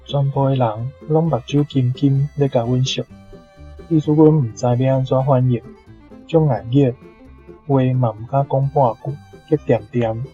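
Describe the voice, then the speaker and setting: A man's voice: medium pitch, steady tone, a little slow, dark tone, faint music behind. A man reading prepared sentences on a dull, lo-fi recording. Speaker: guest giving prepared translations.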